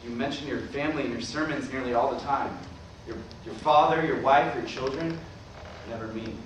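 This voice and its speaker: A man's voice: medium pitch, normal speed, close to an everyday voice. A man playing a dying, middle-aged former professor, dry and argumentative with his brother, in a staged play reading. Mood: wry, guarded. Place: staged reading filmed in a roomy venue. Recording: echoey room, far mic, noisy.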